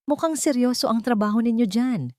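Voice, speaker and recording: Woman as a gentle father, warm, affectionate manner, audio drama, dry close sound. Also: fairly high pitch, a little fast, dry recording, voice pushed up, close to an everyday voice.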